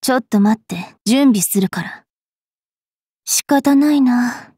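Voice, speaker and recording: A young fairy witch knight from an anime game, haughty and bookish. The voice is high and a little husky, delivered slowly, slipping from prim manners into rough, sharp-tongued taunts. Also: no room sound, clean studio sound. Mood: cool, teasing. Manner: switches between prim politeness and rough, taunting speech. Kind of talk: anime mobile game character voice lines.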